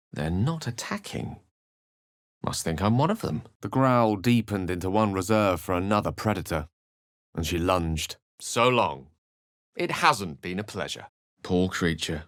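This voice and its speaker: A thoughtful, well-spoken wizard for a fantasy video game. His voice sits fairly low and is very dry, with no room around it. He speaks in measured, theatrical phrases with a wry, scholarly temper. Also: no echo. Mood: measured, reflective. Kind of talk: video game voice acting, dialogue and narration.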